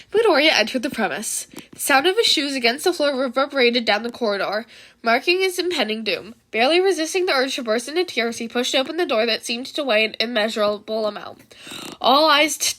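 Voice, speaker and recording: A woman reading fan fiction aloud as an amateur narrator, with expressive storytelling and casual asides. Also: on the high side.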